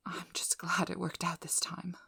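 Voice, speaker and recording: Female vampire hunter played by a woman for ASMR role-play: low, husky, breathy, guarded and firm, close on the mic. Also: completely flat delivery, bright, forceful tone, dry recording, heavily acted. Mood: weary, dry. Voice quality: breathy, mostly whispered. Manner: slow, soothing instructions, firm and controlled. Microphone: close ASMR microphone.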